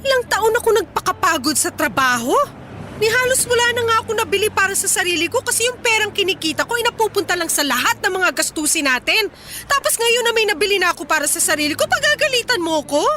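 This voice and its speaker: A woman's voice: extremely high pitch, sing-song, fast talker, pushed and bright, slight background noise, full character voice. A woman playing a hardworking, touchy middle-aged Filipina mother in a Tagalog radio drama, with an emphatic, reproachful and melodramatic delivery and a Filipino accent. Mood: hurt, scolding. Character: hardworking, touchy Filipina mother running an eatery, easily hurt. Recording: music under the voice.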